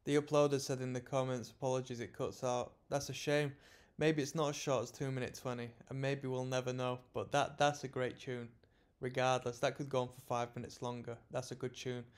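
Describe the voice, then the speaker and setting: A young man in his twenties hosting a music reaction, talking in a relaxed, rambling, slightly sleepy way from a small room. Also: mid-range voice, brisk, few pauses, clear, resonant tone. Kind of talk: casual music reaction commentary. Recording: song playing faintly behind.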